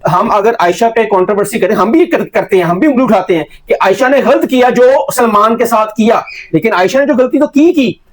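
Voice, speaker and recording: A man's voice: sits high, fairly quick, hardly any pauses. A man with a Pakistani accent hosting an interview, pressing his guest with repeated, probing questions. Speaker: interviewer and host. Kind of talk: probing street-level YouTube interview.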